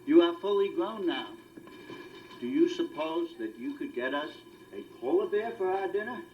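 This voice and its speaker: A man's voice: on the high side, lively intonation, moderate pace, a little hiss or hum, natural voice. A man voicing a solemn old house father. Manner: solemn, chanting repetition. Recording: muffled lo-fi recording.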